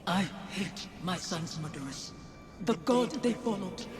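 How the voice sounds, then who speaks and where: A spectral wife speaks in a lowish voice wrapped in echo. She is stern, intense and unflinching, a haunting figure from a game drama, with music clearly behind her. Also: lively intonation, a little slow. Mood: bitter, accusing. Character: ghostly nightmare of a bereaved wife, stern and unflinching. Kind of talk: dramatic video game voice acting. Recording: echoing voice over dramatic music.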